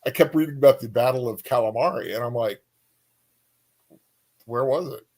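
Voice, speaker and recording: Halting, casual male co-host. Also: medium pitch, a little mellow. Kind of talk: casual chat.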